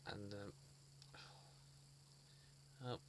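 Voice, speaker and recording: A man fondly recalling a children's show he loves, speaking in an earnest, halting way with frequent restarts and repeated words. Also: noisy background. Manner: earnest and fond. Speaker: fan sharing memories. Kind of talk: casual spoken reminiscence.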